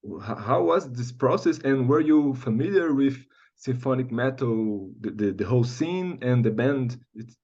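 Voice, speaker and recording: Halting male interviewer, dull lo-fi audio. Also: mid-range voice, expressive, a little mellow. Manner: halting, searching for words. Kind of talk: interview questions.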